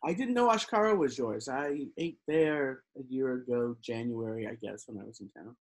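A man's voice: medium pitch, expressive, moderate pace, a little hiss or hum. A middle-aged man interviewing a guest on a video call from a room at home, asking plain conversational questions. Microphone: laptop camera on a video call.

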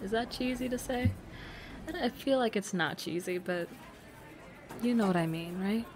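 A woman's voice: mid-range voice, normal speed, noisy background, close to an everyday voice. A woman playing an affectionate, teasing young girlfriend on a secret cafe date in an intimate roleplay audio, speaking casually with hesitant restarts. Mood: earnest, tender.

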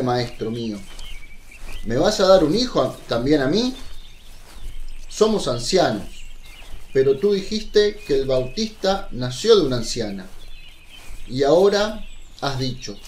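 A man plays an old fisherman apostle in a religious radio play. His voice is fairly high for a man and swings widely, humble, earnest and hesitant. There is clear noise with birdsong behind him. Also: darkish tone. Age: older. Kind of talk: religious radio drama acting. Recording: noisy, birdsong ambience behind.

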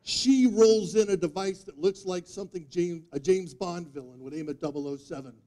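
A man in his fifties giving a humorous storytelling speech, with theatrical deadpan delivery and dramatic pauses. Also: high-pitched. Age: 50s. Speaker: speech contest speaker. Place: meeting room before a live audience, indoors. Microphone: distant audience camera microphone.

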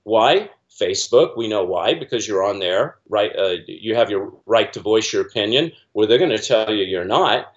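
A man's voice: lowish voice, expressive, normal speed, hardly any pauses, muffled recording. A middle-aged man on a video call from a small room at home, talking in an earnest, rambling way with many fillers and restarts. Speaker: guest commentator. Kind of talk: casual video-call discussion.

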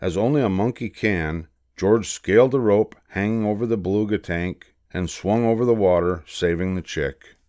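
An older man reading a children's storybook aloud as narrator, in a measured, expressive way. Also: fairly low pitch, dry recording, clean studio sound.

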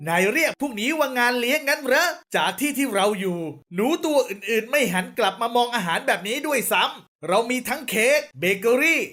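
A woman voicing a small, outspoken, fussy mouse in a children's cartoon fable, with exclamatory, expressive delivery. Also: a little high, brisk, few pauses, faint music behind. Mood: complaining.

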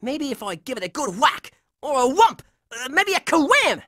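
Scrappy hot-headed boy hero for cartoon game: brash, forceful, lively swings in pitch. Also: normal speed, no room sound, studio quality. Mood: cocky, determined. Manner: brash, slangy, combative. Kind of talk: animated cartoon video game acting.

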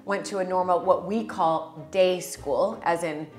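A woman with an American accent in her forties talks casually to camera as host. She is chatty and quick to laugh, with plenty of ums. Her voice carries a roomy echo from a large kitchen. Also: lowish voice, darkish tone. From United States. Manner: chatty, laughing, many fillers. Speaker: channel host. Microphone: lapel mic.

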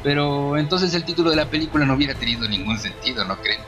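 Sarcastic Mexican man, higher-pitched voice. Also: lively intonation, fast, over music. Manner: sarcastic, slangy, opinionated. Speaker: video reviewer. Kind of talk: informal scripted film review voice-over.

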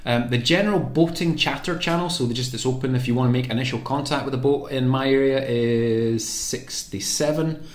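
A man's voice: mid-range voice, moderate pace, few pauses. A man talks through a practical demonstration in a casual, informal way. He explains step by step and often thinks aloud. His voice carries the echo of an indoor room. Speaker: presenter of a radio programming tutorial. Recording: echoey room sound.

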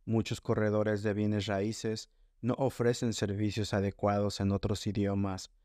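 A man reading a formal, scripted voice-over narration in a measured way. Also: fairly low pitch, flat delivery, mellow, no room sound, clean studio sound. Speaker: segment narrator.

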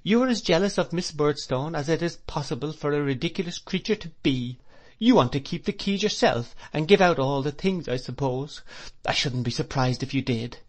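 A man reading aloud, voicing a gentle, fretful young mother who pleads and chides in a raised, tearful tone. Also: on the high side, lively intonation, moderate pace, pitched above the performer's own voice, close to an everyday voice. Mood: reproachful.

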